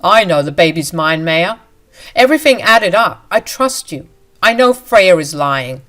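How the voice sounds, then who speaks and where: A woman reading a story aloud, voicing an angry, entitled husband who barks orders in a loud, demanding way. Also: fairly low pitch, expressive, unhurried. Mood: defensive.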